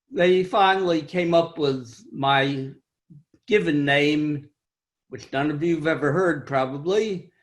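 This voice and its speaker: An older man with a high-pitched voice tells a wry, self-deprecating story about his own life in a club speech over a video call. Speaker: club speaker.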